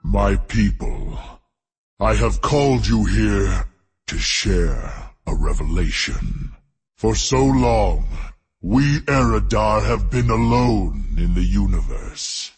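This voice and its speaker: An actor playing a zealous alien leader in a video game, grandly declaiming in a raspy, gravelly voice. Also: male voice, lowish voice, lively intonation, takes it slowly, full character voice. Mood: solemn, persuasive. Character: zealous alien demon-race leader, grand orator turning vengeful. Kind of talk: theatrical video game voice acting.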